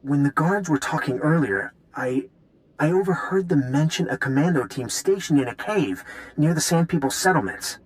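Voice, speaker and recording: A man's voice: a little high, lively intonation, moderate pace, close to an everyday voice, low-quality recording. A man voicing a nervous, guilt-ridden weapons designer in a video game, hesitant and stammering as he apologises. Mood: nervous, earnest. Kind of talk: video game cutscene acting.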